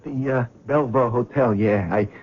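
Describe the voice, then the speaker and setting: An actor playing a nervous, talkative cab driver in a 1970s radio drama, hesitant and apologetic with many stammers. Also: male voice, medium pitch, moderate pace, close to an everyday voice. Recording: dull old radio broadcast.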